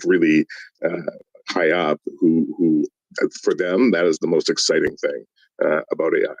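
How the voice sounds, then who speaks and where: A man with a very low voice talks through ideas in a casual panel debate. He keeps checking in with "right?" as he builds his point. The sound is dry and close. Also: no echo, low-quality recording. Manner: thoughtful, seeks agreement with tag questions.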